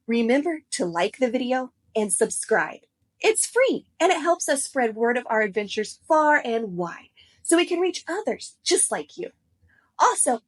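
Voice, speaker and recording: Kids reading show host lady, highish voice, super animated, explains stuff to children. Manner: expressive, instructive. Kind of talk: scripted address to children.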